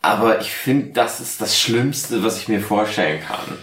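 A tipsy man co-hosting a casual podcast, speaking in halting, hesitant phrases. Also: lowish voice, expressive, fairly bright tone, reverberant, a little hiss or hum. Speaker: podcast host. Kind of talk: casual, bantering podcast chat.

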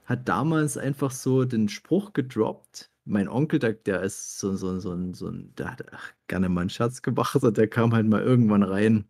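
Gravelly, husky man. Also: fairly low pitch, darkish tone. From Germany. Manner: halting, self-deprecating, searching for words. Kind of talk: casual anecdotal chat among friends.

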